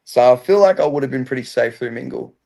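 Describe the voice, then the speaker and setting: A young man with a loud, mid-pitched voice talks as a guest on a podcast. He is upbeat and chatty and often starts with "I feel like.". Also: animated, fast, vocal fry. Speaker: reality show contestant, guest. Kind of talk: casual podcast interview.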